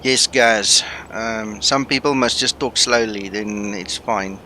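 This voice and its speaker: Slow, bright-voiced man. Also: on the low side, a little hiss or hum. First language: Afrikaans. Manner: relaxed, thinking aloud. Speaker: flight sim streamer. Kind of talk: casual chat with live viewers.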